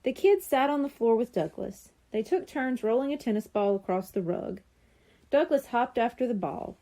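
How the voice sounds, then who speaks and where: Woman reading a kids book, highish voice, mellow, really expressive. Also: normal speed. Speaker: audiobook narrator. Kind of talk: scripted children's story narration.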